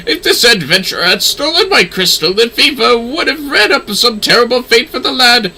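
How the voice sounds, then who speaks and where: A man's voice: very high-pitched, expressive, normal speed, pushed and bright, slight background noise, voice pushed up, full character voice. A man voicing a theatrical, grand older professor of astrology from a game, speaking in a lofty, formal way. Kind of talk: game dialogue read-aloud.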